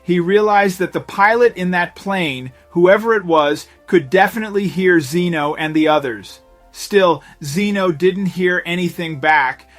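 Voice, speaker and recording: A man narrating a scripted dramatic story in a measured, suspenseful way. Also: on the high side, fairly bright tone. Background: background music.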